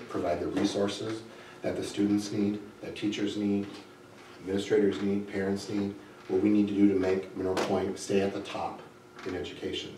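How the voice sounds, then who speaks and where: A middle-aged man with a low voice makes a plain, declarative statement. His intonation stays fairly flat. He is picked up from a distance in a roomy space. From United States, Wisconsin. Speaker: school board candidate, career educator. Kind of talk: short candidate statement. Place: indoor public board meeting, far microphone. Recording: echoey room, distant microphone, faint noise.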